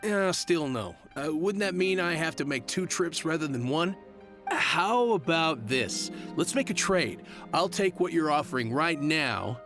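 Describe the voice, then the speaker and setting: A man's voice: on the high side, animated, a little slow. An actor playing a stubborn young courier in a game, blunt as he bargains. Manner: blunt, refusing, then bargaining. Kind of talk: game voice acting. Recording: game audio with music behind.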